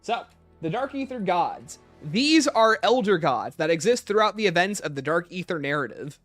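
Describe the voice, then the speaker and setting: Higher-pitched man hosting a livestream Q&A. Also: lively intonation, normal speed, a bit bright, no room sound, soft background music. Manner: reads viewer questions aloud and answers them off the cuff. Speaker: livestream host. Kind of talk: explanatory livestream Q&A commentary.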